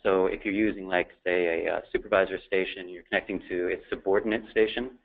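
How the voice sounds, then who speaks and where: A man's voice: low-pitched, steady tone, fast talker, creaky, a little hiss or hum. A man presenting a technical webinar, explaining things in an informal, matter-of-fact way. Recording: thin phone-like line.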